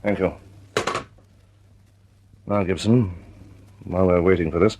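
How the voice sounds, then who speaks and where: An actor playing an authoritative senior air force officer in an old radio drama, with a dry humor. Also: male voice, sits low, steady tone, measured pace, muffled recording, background noise. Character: senior RAF air vice marshal, older man. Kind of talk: 1940s radio acting.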